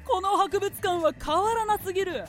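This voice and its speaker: A woman's voice: very high-pitched, moderate pace, soft background music. A woman acting a brash, proud, scheming head of a trading company in a radio drama, with imperious, blunt phrasing. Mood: brusque, annoyed.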